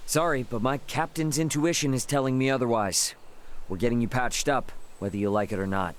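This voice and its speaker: A man's voice: mid-range voice, fairly even intonation, brisk, a little hiss or hum, close to an everyday voice. An actor playing a brash, loyal young male spaceship captain in a video game, with an informal, cocky, slangy delivery. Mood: casual, determined.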